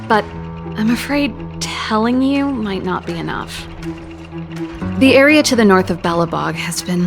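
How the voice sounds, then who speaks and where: An actress voicing a wry, candid young exiled scientist in a video game, talking in a reflective, articulate way. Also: female voice, on the low side. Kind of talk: scripted dramatic game dialogue. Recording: loud background music under the voice. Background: game cutscene music.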